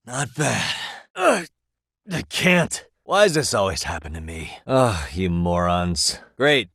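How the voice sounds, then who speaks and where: A streetwise American detective for a video game. His voice is husky and on the low side, recorded very dry. He speaks in short, wry, casual asides and barks. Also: big pitch swings, very slow, a bit bright, no room sound, heavily acted. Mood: exasperated. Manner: casual, sardonic muttering with frequent sighs. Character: wry, streetwise male private detective, adult.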